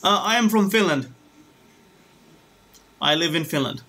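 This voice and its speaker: Bright-voiced man rambling while flying. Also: a little high, animated, measured pace, slight background noise. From Romania. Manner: rambling, hesitant, many restarts. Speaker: flight sim streamer. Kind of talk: casual live-stream chat while flying.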